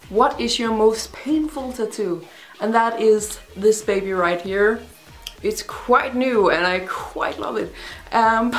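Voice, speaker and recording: A young woman from Denmark, a native Danish speaker with a Danish accent, answering viewer questions in a chatty, rambling, self-deprecating vlog. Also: medium pitch. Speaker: vlogger. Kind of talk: casual Q&A vlog. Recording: roomy echo, faint music behind.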